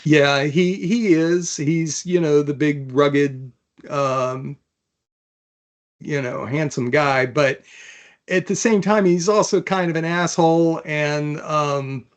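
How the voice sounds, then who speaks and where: A man speaking as an author guest in a casual interview, halting and hesitant, often pausing mid-sentence. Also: on the high side.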